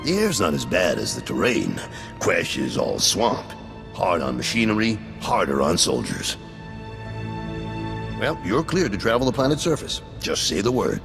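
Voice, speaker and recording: An actor playing a gruff, matter-of-fact military officer in a video game. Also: male voice, deep voice, wildly swooping pitch, moderate pace, over music, exaggerated voice. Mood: brisk, matter-of-fact. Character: gruff military officer greeting and briefing newcomers.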